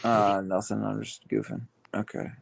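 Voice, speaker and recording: A man hosting a casual livestream chat, rambling and halting with many fillers. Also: fairly low pitch, flat delivery, gravelly, dry recording. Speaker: livestream host.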